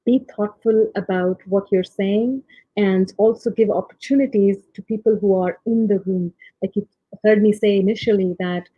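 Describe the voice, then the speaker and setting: A woman with a soft voice giving a leadership talk at a conference, with many ums and restarts. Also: mid-range voice, fairly even intonation, normal speed, slightly rough, dry recording. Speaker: conference speaker. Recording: phone-like muffled audio.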